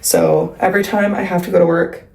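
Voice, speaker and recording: A woman's voice: on the low side, fairly even intonation, brisk, vocal fry, darkish tone, rough recording. Congested young woman chatting casually. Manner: chatty, rambling, frequent "like" and "um". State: congested, recovering from a cold. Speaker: vlogger and pilates instructor. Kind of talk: casual vlog talk to camera. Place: at home.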